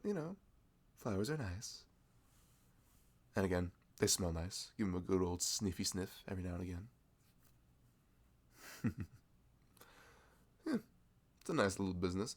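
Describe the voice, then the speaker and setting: A man plays a friendly young florist in an ASMR roleplay, who chats with a customer in a low, gravelly voice. His pitch swings widely as he rambles, laughs often and pokes fun at himself. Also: full character voice. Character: chatty nerdy young male florist, friendly.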